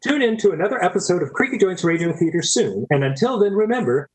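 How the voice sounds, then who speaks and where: A man's voice: sits high, lively intonation, fast, low-quality recording. A man hosting a radio theater show, reading scripted announcements like an old-time radio presenter.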